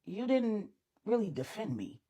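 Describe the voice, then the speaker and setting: A woman's voice: medium pitch, big pitch swings, measured pace, warm tone, slightly rough. A woman voicing a caring but insecure, apologetic boyfriend, hesitant and questioning, in an audio story. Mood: hurt, unsure.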